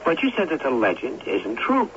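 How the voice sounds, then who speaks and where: A dull, noisy old radio recording carries an earnest young man, questioning and hesitant. His voice is bright and swings very widely in pitch. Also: huge pitch swings, moderate pace. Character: earnest, skeptical young man, troubled and searching. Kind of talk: radio drama acting.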